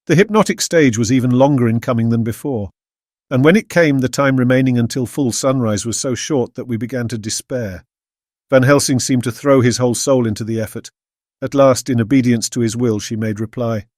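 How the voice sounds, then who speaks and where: A man with a British accent playing an earnest Victorian gentleman, narrating his diary in a measured, solemn way. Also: mid-range voice, dry recording, clean studio sound, close to an everyday voice. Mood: solemn, anxious. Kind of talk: scripted dramatic audiobook narration.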